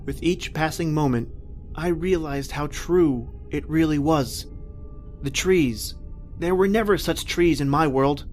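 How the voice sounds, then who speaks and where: An actor playing a distraught, disbelieving family man lost in the distant past, in a melodramatic, halting old-time radio horror style. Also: fairly high pitch, faint music behind, natural voice. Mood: haunted, grave. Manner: melodramatic, halting, repetitive phrasing. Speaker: actor and first-person narrator in a horror radio play.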